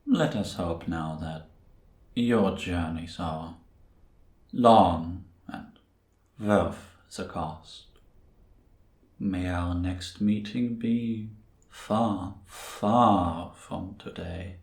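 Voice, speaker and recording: A man's voice: low voice, extremely slow, heavily acted. A man playing a solemn, formal figure giving grave farewells in a cinematic audio drama. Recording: roomy, echoing voice.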